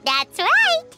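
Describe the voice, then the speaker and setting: A woman voicing a tiny, excitable, childlike fairy companion in a video game, with a squeaky, perky delivery. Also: full character voice. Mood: cheerful, proud. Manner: perky, refers to self by name. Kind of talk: video game character acting. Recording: loud background music. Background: game music.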